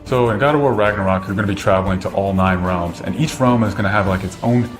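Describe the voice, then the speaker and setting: A man's voice: on the low side, fast. A man speaking as a game developer in a promotional interview. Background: music bed.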